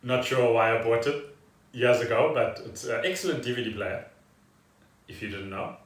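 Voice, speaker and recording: A man with a medium-pitched voice talks casually as a podcast co-host. His phrasing is halting and deliberate. The sound is roomy and echoey, a little away from the mic. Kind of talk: casual unscripted chat. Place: echoey room at home. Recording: roomy echo, distant from mic.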